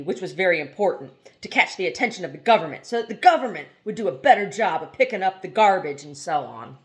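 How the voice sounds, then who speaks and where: Woman, home audiobook reader, low voice with wide, animated pitch swings, casual asides. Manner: expressive reading, laughs at funny passages; quiet, chatty aside between chapters. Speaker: audiobook reader and channel host. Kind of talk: amateur novel reading with casual commentary.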